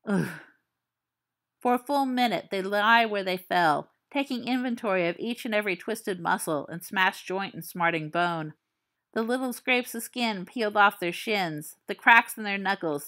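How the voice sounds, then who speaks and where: A woman reading fan fiction aloud as an audiobook, in a calm, measured, soft manner. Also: mid-range voice. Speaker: podfic reader.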